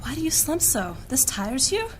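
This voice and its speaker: A woman's voice: high-pitched, sing-song, moderate pace, slight background noise. Proud, commanding female mage. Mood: urgent, composed. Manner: formal archaic diction. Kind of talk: high fantasy audio drama acting.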